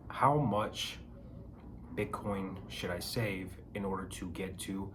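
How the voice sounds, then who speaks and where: Hoarse man host, raspy and low, chatty and emphatic, over steady background noise. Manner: chatty, emphatic, frequent fillers and rhetorical questions. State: hoarse from a cold. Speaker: bitcoin video host. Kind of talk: casual talking-to-camera advice.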